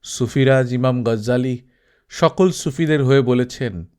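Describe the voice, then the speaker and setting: A man voicing an elderly male Sufi saint who speaks gently and patiently, like a teacher. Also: medium pitch, a little slow, clear, resonant tone, close to an everyday voice. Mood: gentle.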